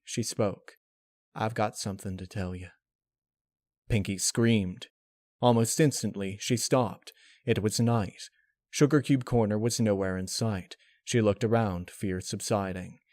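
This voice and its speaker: A man reading a dark fan-fiction story aloud as narrator, in a dramatic scripted style. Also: fairly low pitch, no room sound, clean studio sound.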